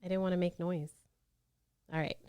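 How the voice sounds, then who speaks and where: A woman with a slightly low voice, recorded dry with little room sound. She chats casually as a podcast guest, playful and a bit wry with her friends. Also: little pitch movement, moderate pace, mellow, clean tone, no echo. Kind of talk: casual chat.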